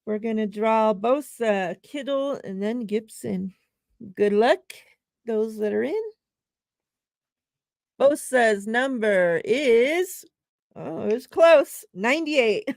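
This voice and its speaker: A woman's voice: a little high, lively intonation, slow pace, long pauses, darkish tone, no room sound, clean studio sound. A woman hosting a casual live-stream chat, laughing often and reading out giveaway numbers. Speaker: show host.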